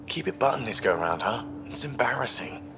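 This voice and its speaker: Male squad mate: mid-pitched, dry, teasing, no-nonsense game soldier, long hall reverb. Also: animated, a little fast, vocal fry, fairly bright tone, a little hiss or hum. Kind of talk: scripted video game acting.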